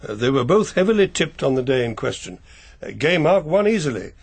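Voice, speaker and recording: An actor playing a discreet family solicitor with an English accent, speaking in a measured, polite, reserved way. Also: male voice, fairly high pitch, big pitch swings, slightly rough, exaggerated voice. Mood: polite, careful. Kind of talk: radio mystery drama acting.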